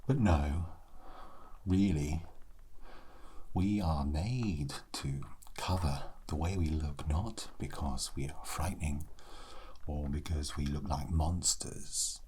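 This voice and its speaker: Man acting as undead skeleton, very low dark voice, hushed whispery delivery, morbid, sassy, scholarly, theatrical pauses, ASMR game roleplay, faint background hiss. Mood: proud, musing. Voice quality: hushed, breathy, often dropping into whisper. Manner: slow, deliberate, wry, theatrical pauses. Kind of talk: ASMR character roleplay monologue.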